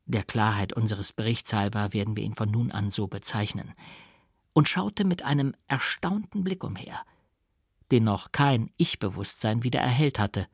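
A male audiobook narrator with a medium-pitched, gravelly voice, recorded very dry. He reads literary prose expressively, shaping phrases with deliberate theatrical care. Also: no room sound. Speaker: audiobook reader.

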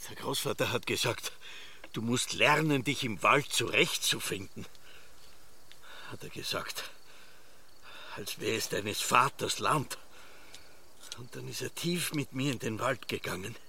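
An actor playing a brooding Austrian farmer father lost in memories, telling them slowly and solemnly in a radio drama. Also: medium pitch, creaky, pushed and bright, slight background noise, full character voice. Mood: brooding, reminiscing. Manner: slow, solemn, archaic storytelling. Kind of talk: theatrical radio drama monologue.

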